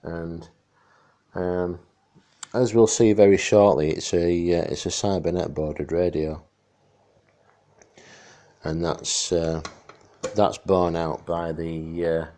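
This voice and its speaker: A man's voice: sits low, steady tone, slow, pauses often, dark tone. A middle-aged man gives a casual, hands-on restoration commentary in a chatty, rambling, dryly jokey way. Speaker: radio restorer presenting a demonstration.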